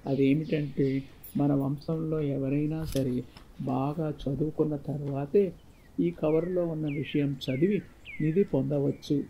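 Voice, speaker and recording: A male storyteller voicing an earnest, solemn father who gives measured counsel to his son in a moral tale. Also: fairly high pitch, fairly even intonation. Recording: muffled lo-fi, faint background noise.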